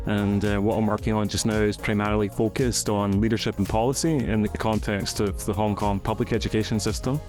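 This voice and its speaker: A man in his forties with a Glaswegian Scottish accent, an education professor explaining his career in a measured, articulate way as a podcast guest. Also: fairly low pitch, a little mellow. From Scotland. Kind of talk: podcast interview, reflective explanation.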